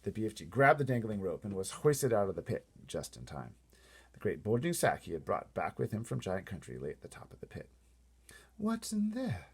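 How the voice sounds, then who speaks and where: A man reading a storybook aloud in an animated way, playfully breaking off for casual asides to wonder aloud. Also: sits low, fairly quick, slightly rough.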